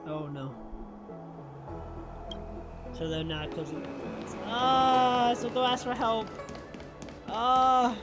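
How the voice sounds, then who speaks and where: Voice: mid-range voice, lively intonation, slow, pauses often. A game streamer giving casual, informal reaction commentary and thinking aloud during a playthrough. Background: game music.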